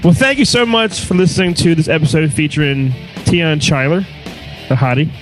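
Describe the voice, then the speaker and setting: A man's voice: on the high side, normal speed, over music. A man co-hosting a podcast with casual banter and silly, crude humor. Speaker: podcast co-host.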